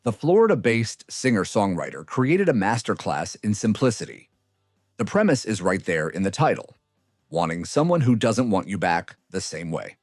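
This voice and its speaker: A man narrates a scripted countdown in a fairly low voice. His intonation swings widely and expressively. The sound is close and dry, with no room around it. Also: very animated, moderate pace, no echo, faint music behind. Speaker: narrator of a music countdown video.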